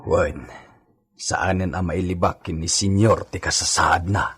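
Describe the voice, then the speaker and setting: A man's voice: sits low, fairly even intonation, unhurried, a bit bright, faint music behind. A man playing a resigned household servant in a radio drama.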